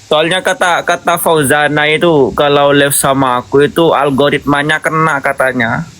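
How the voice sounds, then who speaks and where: Young Madurese man, short halting replies. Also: fairly high pitch, fairly even intonation, fairly bright tone. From Madura, Indonesia. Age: 20s. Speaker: livestream guest. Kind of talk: casual chat on a livestream battle. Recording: noisy livestream audio.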